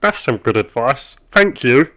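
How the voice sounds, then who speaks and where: An actor playing a long-suffering, irritable office worker in an animated comedy, terse and strained in his politeness. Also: mid-range voice, a little slow. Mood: weary, irritated. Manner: terse, forced politeness, exasperated. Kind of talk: comic animated dialogue.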